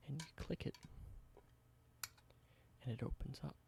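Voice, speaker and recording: A man doing a whispered ASMR roleplay, explaining things slowly and correcting himself now and then. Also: medium pitch, gravelly, noisy background. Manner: soft whisper, slow explanations with small self-corrections. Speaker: ASMR creator.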